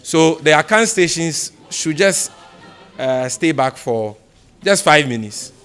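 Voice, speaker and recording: A man with a Ghanaian accent speaking as an opposition party spokesperson at a press conference, in a formal, deliberate way with emphatic repetition. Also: fairly high pitch, animated, fairly bright tone, clear, resonant tone, faint music behind. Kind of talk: press conference remarks, answering questions.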